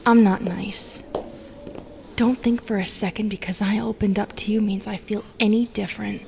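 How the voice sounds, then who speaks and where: A woman playing a bitter, lonely, seductive Medusa in an intimate audio roleplay, half-whispering in a breathy, brooding way. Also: mid-range voice, steady tone, moderate pace, darkish tone, slight background noise, close to an everyday voice. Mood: cold, bitter. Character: bitter, seductive, lonely female Medusa who turns people to stone.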